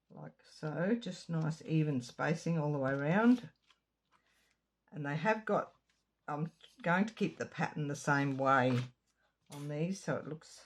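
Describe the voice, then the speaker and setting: A woman with a slightly croaky throat talks through a card-making tutorial in a relaxed, chatty way, wandering into small asides as she folds and glues. Her voice is husky and a little gravelly. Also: low voice. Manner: chatty, rambling asides while working. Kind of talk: casual craft tutorial. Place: home craft room.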